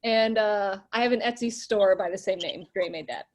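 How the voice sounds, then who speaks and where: A woman's voice: mid-range voice, normal speed. A woman artist talking cheerfully and giggling on a video call. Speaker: artist with an online shop. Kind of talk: casual video-call chat.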